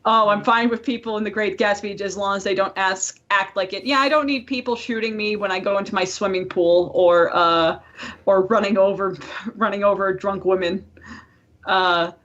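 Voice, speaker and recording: A woman's voice: medium pitch, steady tone, normal speed, fairly bright tone, dull audio. A woman co-hosting a podcast in casual chat, talking in a chatty, informal way and swearing freely. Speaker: podcast co-host.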